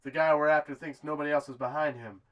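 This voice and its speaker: An actor playing the calm, menacing leader of a western gang on a pursuit, casual and controlled. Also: male voice, on the high side, fast talker, dull audio, natural voice. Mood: measured. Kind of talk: amateur western film acting.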